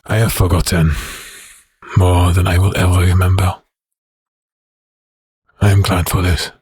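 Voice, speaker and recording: An actor playing a bitter, haunted werewolf prisoner in an audio drama, speaking in a slow, brooding, halting way with a rough voice. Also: male voice, low voice, little pitch movement, darkish tone, no room sound, studio quality, full character voice. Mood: bitter resignation. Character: bitter haunted male werewolf prisoner recounting his past.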